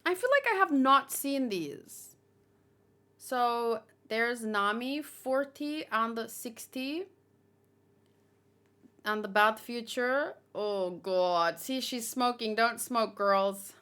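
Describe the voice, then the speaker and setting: Bright, high-voiced woman chatting on stream. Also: slow pace. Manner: chatty, expressive, exclaiming reactions. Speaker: manga read-along streamer. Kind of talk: casual livestream commentary, reading aloud and reacting.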